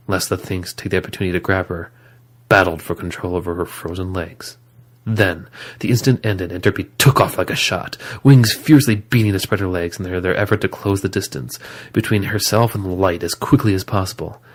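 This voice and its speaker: A man reading scripted story narration as the narrator of an audio drama. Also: sits low.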